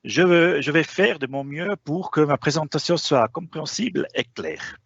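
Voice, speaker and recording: A man presenting a professional webinar in a language that is not his first, stopping often on hesitations. His voice rises and falls a lot and carries a gravelly, slightly husky texture. The sound is dry and a bit dull, like a lo-fi online call. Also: on the high side, animated, moderate pace, no echo. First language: not French. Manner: hesitant, frequent "euh" fillers.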